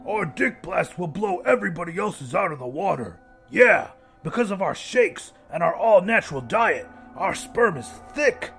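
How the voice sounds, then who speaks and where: A man's voice: high voice, expressive, moderate pace, gravelly, a bit bright, soft background music, heavily acted. A man voicing a pair of boastful, meaty gym-bro brothers in an audiobook, hyped and bragging.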